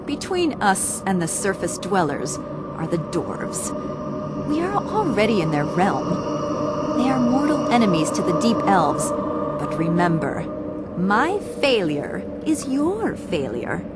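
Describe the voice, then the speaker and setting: A woman voicing a proud, vengeful dark elf princess in a fantasy game cutscene, haughty, formal and commanding. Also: mid-range voice, big pitch swings, unhurried. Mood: stern, scheming. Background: loud music.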